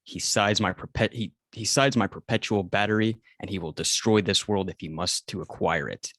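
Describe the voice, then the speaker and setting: A man playing a stoic, protective alien robot guardian in a table read, speaking formally and in measured phrases. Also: on the low side, fairly bright tone, dry recording, studio quality. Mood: insistent.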